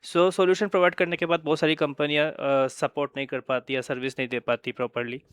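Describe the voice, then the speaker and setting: An Indian man with a fairly high, smooth voice explains products in an informal presentation. He speaks hesitantly, drops in English phrases and punctuates points with little check-in words. The sound is close and dry. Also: level tone, fairly quick, fairly bright tone, dry recording. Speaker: company presenter of wireless communication products.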